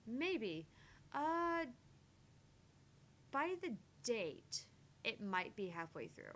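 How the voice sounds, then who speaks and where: A woman's voice: a little high, very animated, drawn-out words, fairly bright tone, a little hiss or hum. A woman co-hosting a gaming livestream, chatting casually and reading game text aloud.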